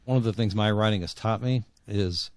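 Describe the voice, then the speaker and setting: A man's voice: on the low side, fairly quick, dry recording, studio quality. A middle-aged or older man talking reflectively as an interview guest, often repeating words as he searches for phrasing.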